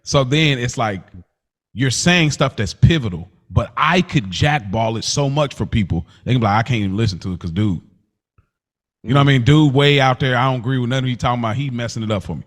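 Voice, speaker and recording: A man's voice: mid-range voice, lively intonation, a little fast. An American man co-hosting a podcast in casual chat, with a loose, colloquial way of talking. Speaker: podcast co-host.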